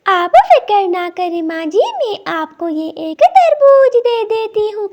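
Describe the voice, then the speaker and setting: A woman voicing a gentle, earnest young mother bird in a cartoon, on a noisy track. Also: extremely high pitch, sing-song, slow pace, exaggerated voice. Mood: kind, reassuring. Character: kind, gentle young mother bird, poor watermelon seller.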